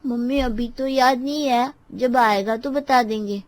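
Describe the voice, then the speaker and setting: Woman playing a young child, raised pitch, family drama story, close dry recording. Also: a little slow, no room sound, close to an everyday voice. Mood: asking. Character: young child making food requests to mother.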